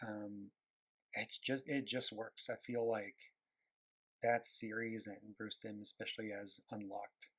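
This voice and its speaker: A man with a Quebec French accent talks in a fairly low voice as he draws. He is a native French speaker who chats casually in English, often pausing with fillers as he hunts for the right words. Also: level tone, a bit bright, breathy edge. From Quebec, Canada. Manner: rambling, searching for words, many fillers. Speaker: animator and illustrator. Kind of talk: casual talk while drawing.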